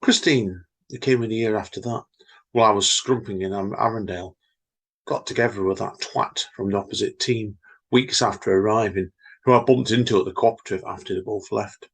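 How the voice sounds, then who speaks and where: Man, podcast co-host, husky, lower pitch, reflective poetry reading. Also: steady tone, fairly quick, fairly bright tone. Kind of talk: casual chat and poetry reading.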